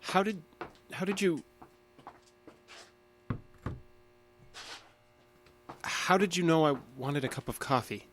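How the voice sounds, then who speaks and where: Guarded, terse man with lively intonation acting. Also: on the high side, normal speed, noisy background, natural voice. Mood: confused, wary. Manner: terse, insistent, evasive. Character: guarded, stubborn male diner assistant manager under questioning. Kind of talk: audio drama acting.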